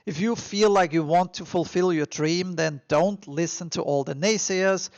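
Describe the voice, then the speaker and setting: Male podcast host, Austrian accent, fairly high creaky voice, dry close sound; emphatic, encouraging advice-giver. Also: moderate pace, no echo, lo-fi sound. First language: German. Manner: emphatic, encouraging, with informal repetition. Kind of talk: motivational advice monologue to listeners.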